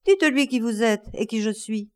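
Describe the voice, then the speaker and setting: A woman's voice: on the high side, sing-song, normal speed, voice pushed up. A woman voicing a bitter, enraged older man, a betrayed husband in a story, breathless and insistent in his outbursts. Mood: furious, accusing.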